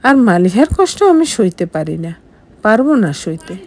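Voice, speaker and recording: A woman's voice: medium pitch, big pitch swings, moderate pace, a little mellow. A woman voicing a cold but pleading husband in an audio story, earnest and insistent. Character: married man, cold yet pleading husband.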